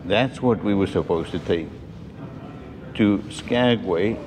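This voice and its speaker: Older male vlogger, 60s, unhurried and halting, casual travel chat over clear location noise. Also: low voice. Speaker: vlog host, roofing contractor. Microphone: clip-on lapel mic. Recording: noisy on-location vlog audio.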